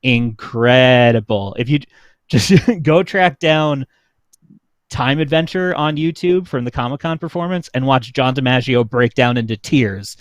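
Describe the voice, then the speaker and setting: A man's voice: mid-range voice, measured pace, no echo, studio quality. A young adult man chatting casually on a livestream, an enthusiastic fan who peppers his talk with "like". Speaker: show panelist.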